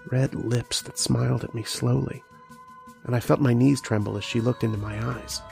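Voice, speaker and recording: Man acting a haunted archaeologist, gravelly edge. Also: medium pitch, steady tone, darkish tone, faint music behind. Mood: brooding, haunted. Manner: dramatic first-person storytelling with deliberate pauses. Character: earnest, haunted male archaeologist narrating his uncanny tale. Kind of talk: old-time radio horror drama acting.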